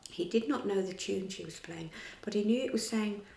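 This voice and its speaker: A woman's voice: mid-range voice, moderate pace, reverberant. Woman reading a children's story. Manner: clear, steady storybook reading.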